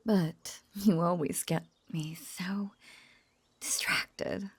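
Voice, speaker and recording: Obsessive lovesick witch, female performer, intimate audio drama. Lowish, breathy, half-whispered, teasing and possessive, recorded dry and close. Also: fairly even intonation, no echo, exaggerated voice. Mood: menacingly tender. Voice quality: husky, creaky, breathy. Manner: breathy half-whispered cooing, lingering pauses.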